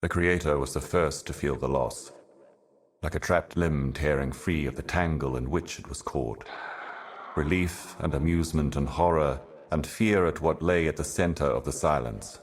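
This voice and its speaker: A man voicing an ancient, otherworldly being in a fantasy game, speaking cryptic warnings of doom in a solemn, measured, portentous manner. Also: very deep voice, a little hiss or hum. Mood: ominous awe. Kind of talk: theatrical fantasy game monologue.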